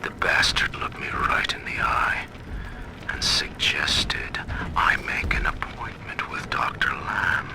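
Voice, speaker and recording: A man's voice: low voice, wildly swooping pitch, slow, in a whisper, full character voice. A man playing a stern, proud middle-aged tycoon who rules his own city in a video game, speaking his audio diaries in pompous, menacing, formal oratory. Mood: bitter, confiding. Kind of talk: dramatic recorded diary monologue, formal oratory.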